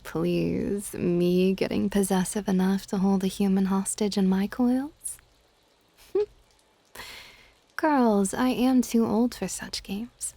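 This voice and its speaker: Woman as older dragon goddess, ASMR roleplay, soft-spoken, mellow tone, dry close sound, formal, gently exasperated. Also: mid-range voice, no room sound. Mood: amused, dismissive. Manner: soft-spoken, breathy asides, formal wording.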